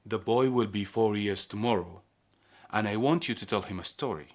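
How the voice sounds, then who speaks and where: Man acting a low-voiced, secretive prison guard. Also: normal speed, lightly acted. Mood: matter-of-fact. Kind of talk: radio play acting.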